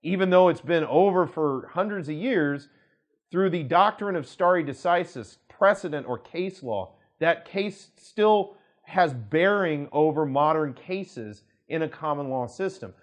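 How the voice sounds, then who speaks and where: A man's voice: a little high, lively intonation, measured pace. Man explaining law casually. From United States. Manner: conversational, many restarts and asides while explaining. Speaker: attorney and law firm director. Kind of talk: explanatory talk to camera.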